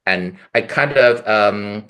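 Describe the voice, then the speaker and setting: A young man from Taiwan with a Taiwanese accent tinged by American and British English, a guest comedian talking in a measured way in a casual interview. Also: fairly low pitch, sharp tone. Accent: Taiwanese, with American and British traces.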